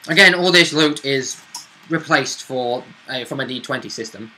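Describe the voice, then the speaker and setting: Male co-host, very bright and forceful tone, medium pitch, casual gamer chat. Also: moderate pace, low-quality recording. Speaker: co-host and player recalling the game. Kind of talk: casual chat about a roleplaying game.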